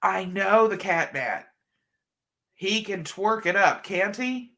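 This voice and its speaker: A woman's voice: mid-range voice, slow, a bit bright. A middle-aged woman hosting a casual livestream, stuffed up and a bit scratchy from allergies, chatting affectionately with viewers. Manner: affectionate, chatty, calls viewers hun and honey. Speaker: livestream host. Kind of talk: casual livestream chat reading comments.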